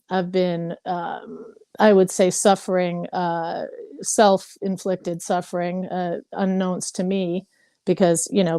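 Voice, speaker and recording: Fifty-something woman from hawaii sharing her story, lots of ums, mellow tone. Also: on the low side, no room sound, studio quality. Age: 50s. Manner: candid, rambling, many fillers. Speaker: participant. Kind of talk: casual personal sharing.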